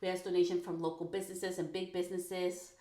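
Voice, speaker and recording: A woman in her thirties hosting a personal podcast, talking frankly and casually, full of "like" and quick laughs. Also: on the low side, flat delivery, warm tone. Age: 30s. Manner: chatty, frank, peppered with "like", laughs often. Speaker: podcast host. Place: her bedroom recording corner. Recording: echoey home room.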